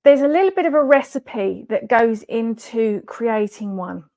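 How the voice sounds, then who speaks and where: A woman's voice: fairly high pitch, lively intonation, a little slow, vocal fry, a little mellow. A middle-aged woman coaching in a chatty pre-recorded live video, nasal from a head cold and using lots of fillers. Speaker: wellness coach.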